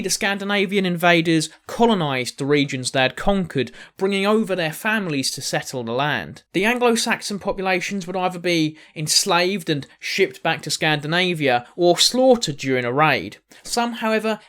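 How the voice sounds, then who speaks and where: A man's voice: high-pitched, animated, normal speed, hardly any pauses, sharp tone. A man hosting a history channel, narrating casually with jokey asides to the viewers.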